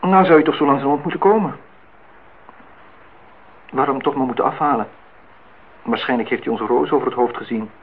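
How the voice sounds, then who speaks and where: A man's voice: medium pitch, brisk, pauses often, breathy edge. An actor playing a middle-aged, well-off man in a radio play, nostalgic and dryly teasing in formal speech. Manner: formal address, dry teasing. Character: older well-off man, nostalgic, needling, courting host. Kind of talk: Dutch radio play acting. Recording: muffled, phone-like old radio recording.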